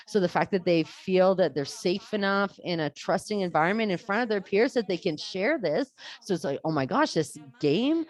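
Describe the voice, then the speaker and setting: A veteran schoolteacher, her voice rising and falling in wide, animated swings. She talks earnestly and breaks off to restart her sentences as she recounts her classes. Her pitch sits in a middle range for a woman. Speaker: teacher. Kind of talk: webinar talk sharing classroom experience.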